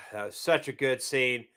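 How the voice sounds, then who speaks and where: A man from New England co-hosting a casual movie review chat, rambling and halting with fillers and restarts. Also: mid-range voice, bright, forceful tone, clear, resonant tone. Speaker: podcast co-host.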